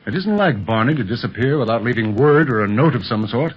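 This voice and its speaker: A man playing a calm, sharp-minded secret agent and fatherly uncle in a 1930s radio serial, reasoning in a measured, reassuring way. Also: mid-range voice, breathy edge, faint music behind. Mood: serious, deliberate. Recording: muffled vintage 1930s radio recording.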